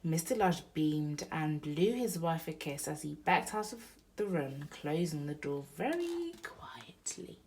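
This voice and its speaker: Animated woman reading a bedtime story. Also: low voice, measured pace, few pauses, a little hiss or hum. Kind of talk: expressive bedtime story read-aloud for young children.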